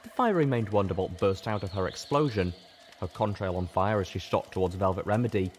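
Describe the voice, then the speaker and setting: A man narrating a scripted first-person story in a dramatic, deliberate storytelling manner. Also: sits low, slight background noise. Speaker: audiobook narrator.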